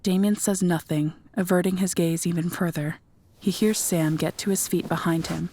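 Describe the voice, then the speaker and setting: A woman's voice: on the low side, steady tone, normal speed, dark tone, a little hiss or hum. A woman reading scripted third-person narration for an audio drama.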